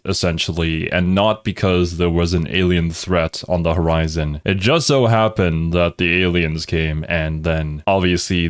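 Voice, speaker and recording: A man's voice: low voice, no room sound, clean studio sound. A man who natively speaks Thai, reacting as a video host with casual, musing, rambling commentary.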